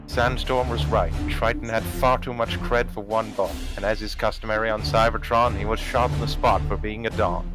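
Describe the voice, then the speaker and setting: A man's voice: fairly low pitch, steady tone, normal speed, few pauses. A man reading a comedic fan comic as narrator in a wry, conversational way. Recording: music bed behind voice. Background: music.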